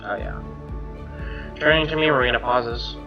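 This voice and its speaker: A man doing an expressive read-aloud of a game's narration, with casual asides. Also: medium pitch, moderate pace. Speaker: gamer reading a visual novel aloud. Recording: roomy, dull lo-fi mic. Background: game music.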